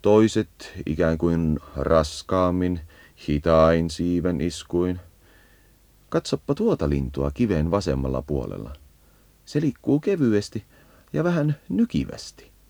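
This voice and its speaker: A man's voice: deep voice, sing-song, creaky, a little mellow, no echo. A man narrating a scripted educational birdsong guide, leading the listener as if on a field walk.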